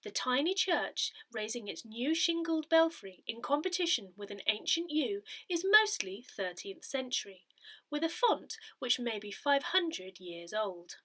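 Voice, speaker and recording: A woman reading a parish newsletter and its notices aloud from a script, measured and careful with each detail. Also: a little high, big pitch swings, pushed and bright. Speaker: reader of a parish newsletter audio edition.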